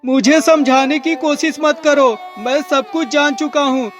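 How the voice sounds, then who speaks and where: A man's voice: very high-pitched, fairly even intonation, a little slow, fairly bright tone, faint music behind. A man playing a suspicious, hot-tempered husband in a melodramatic cartoon moral story, speaking emphatically. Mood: angry accusing.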